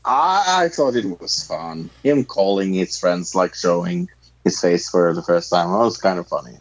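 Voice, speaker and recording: A man chatting casually and haltingly, searching for words, on a dull lo-fi mic. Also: low voice, expressive, no echo. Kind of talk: casual chat.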